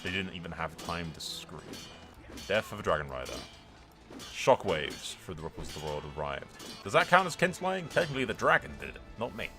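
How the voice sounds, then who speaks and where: A man giving excited, sweary video game commentary with a theatrical, roleplaying streak. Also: low voice, a little fast, faint music behind.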